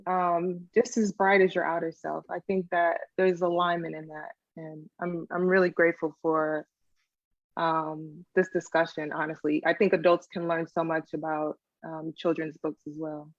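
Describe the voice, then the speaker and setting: A woman guest giving a reflective, unscripted answer, sincere and thoughtful, pausing between phrases. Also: on the low side, no echo, dull audio.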